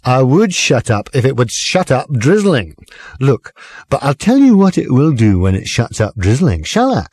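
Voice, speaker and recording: A man's voice: mid-range voice, big pitch swings, normal speed, a little mellow, no echo. A man voicing a gloomy, aggrieved middle-aged lorry driver who rants. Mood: grumbling. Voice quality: ranting, table-thumping.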